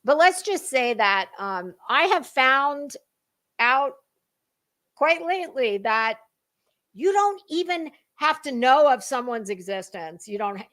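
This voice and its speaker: A woman talking as an online commentator in a casual, dramatic monologue, emphatic and halting, with deliberate pauses and repeated words. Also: high voice, lively intonation, fairly bright tone.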